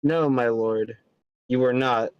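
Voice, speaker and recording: Courtly henchman , male reader: florid, formal, unhurried stage delivery, mid-pitched, slightly lo-fi sound. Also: steady tone, smooth, no echo, lightly acted. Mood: deferential. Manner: formal, poetic diction. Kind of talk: amateur script table read.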